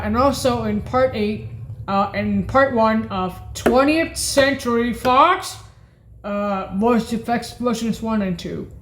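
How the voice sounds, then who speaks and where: A woman presents a sound demo in a fairly high-pitched voice at a very slow pace. She thinks aloud, halting often, repeating phrases and questioning herself as she goes. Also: slight background noise. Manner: halting, repetitive, questioning, often muttering to self. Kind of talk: rambling demonstration commentary, thinking aloud.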